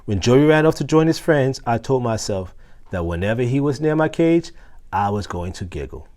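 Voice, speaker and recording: A man reading a children's story aloud in an expressive storytelling style, acting out dialogue with the odd stumble. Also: medium pitch, moderate pace. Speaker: assistant principal reading a children's book aloud.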